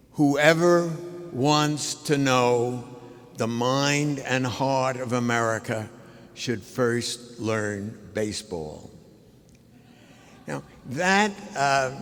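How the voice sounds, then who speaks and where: An older man giving an anecdotal tribute speech at a ceremony, in a measured, halting way with pauses. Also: mid-range voice. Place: large indoor ceremonial hall. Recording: reverberant large hall.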